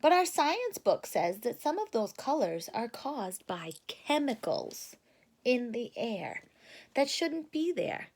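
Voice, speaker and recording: A woman's voice: on the high side, very animated, slow, darkish tone, heavily acted. A woman voicing an agreeable, studious little brother bear from a storybook, speaking earnestly. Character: agreeable, studious young boy bear cub.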